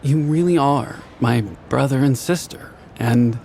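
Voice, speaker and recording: A man's voice: mid-range voice, measured pace, vocal fry, warm tone, a little hiss or hum. An actor playing a tender, devoted middle-aged family man, speaking in a sincere, reflective and lightly humorous way. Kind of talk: heartfelt voice acting, journal narration. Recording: voice over background music.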